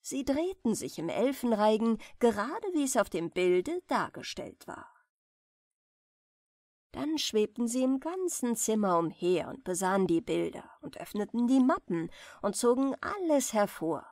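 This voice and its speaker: A woman softly narrating fairy tales for bedtime in an unhurried, expressive storytelling manner. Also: on the high side, no room sound, clean studio sound. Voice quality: soft. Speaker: audiobook narrator. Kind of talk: gentle bedtime fairy-tale reading.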